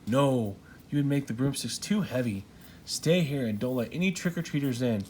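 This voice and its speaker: A man's voice: mid-range voice, lively intonation, normal speed, a little mellow, lightly acted. A man voicing a bossy, unkind older witch sister who gives curt orders, in a storybook. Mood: commanding. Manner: curt, commanding. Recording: noisy home recording.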